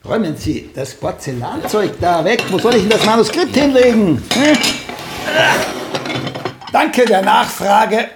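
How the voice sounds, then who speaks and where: Fussy, creaky old writer. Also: male voice, high-pitched, expressive, a little slow, pauses often, background noise, heavily acted. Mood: brusque, demanding. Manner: imperious, fussy. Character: pedantic, self-important old celebrated writer, a mentor. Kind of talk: radio play acting.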